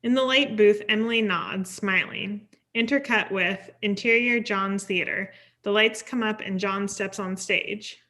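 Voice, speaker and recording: A woman's voice: medium pitch, measured pace, hardly any pauses, sharp tone. A woman reading stage directions as scripted narration at a table read.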